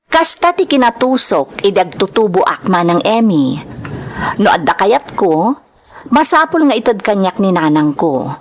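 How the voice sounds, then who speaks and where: A woman's voice: medium pitch, sing-song, unhurried. A woman playing a worried young woman in an audio drama, with an Ilocano accent, telling her family's story. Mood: reflective. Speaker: actor in a radio-style drama. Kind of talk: dramatized narration and dialogue. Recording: clear background noise.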